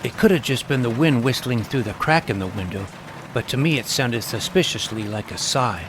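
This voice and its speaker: A man's voice: medium pitch, animated, normal speed, natural voice. A man playing a serious, imaginative twelve-year-old vampire hunter, narrating his own story in a dramatic, bookish way. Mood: earnest, suspenseful. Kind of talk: scripted first-person story narration. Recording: noisy ambient background.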